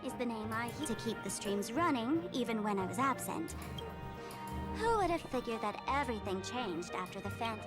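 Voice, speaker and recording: Game role: actress as teasing young streamer, high pitch, breezy sardonic delivery, music underneath. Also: female voice, lively intonation, normal speed, lightly acted. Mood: confiding. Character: sly, casual young female streamer trickster, teasing and nonchalant. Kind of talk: video game character acting.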